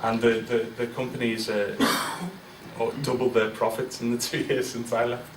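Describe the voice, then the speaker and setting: A man talks to a roomful of people in a candid, self-deprecating way, stopping and restarting often. He sits at a middling pitch, and he is picked up from across a roomy space with a faint hum of ambience. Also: level tone, a little slow, recorded from a distance. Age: 40s. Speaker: guest speaker. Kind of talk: informal business speech. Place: large event room with an audience.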